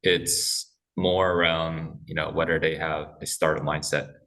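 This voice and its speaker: A man speaking as a podcast guest, talking haltingly with many restarts and fillers. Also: extremely low pitch, little pitch movement, pushed and bright, recorded from a distance. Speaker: guest, corporate development head. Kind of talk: casual podcast interview.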